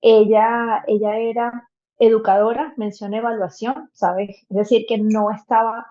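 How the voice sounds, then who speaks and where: A woman's voice: on the high side, level tone, a little slow, dark tone, muffled recording. A Venezuelan woman in her thirties, a podcast guest telling a personal story earnestly, with many fillers and restarts. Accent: Venezuelan Spanish.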